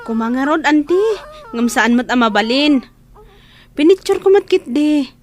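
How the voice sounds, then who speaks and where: A woman's voice: sits high, lively intonation, measured pace, slight background noise. An actress playing a helpful, earnest young woman in an Ilocano audio drama. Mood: warm, reassuring. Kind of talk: Ilocano radio-style audio drama acting.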